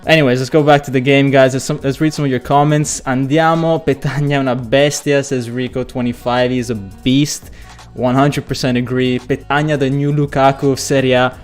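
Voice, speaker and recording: A man hosting a live football fan stream, chatty and excitable, hoarse and losing his voice as he reads viewer comments. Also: medium pitch, a little fast, hardly any pauses, faint music behind. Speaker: football channel host.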